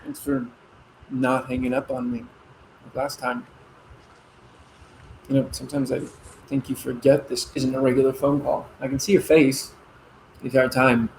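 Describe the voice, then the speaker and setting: A man playing an earnest, gently firm grown son and expectant father in a naturalistic one-act play. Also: mid-range voice, level tone, moderate pace, creaky, long pauses, a little hiss or hum, natural voice, rough recording. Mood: hurt, earnest. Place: virtual play performed over video call.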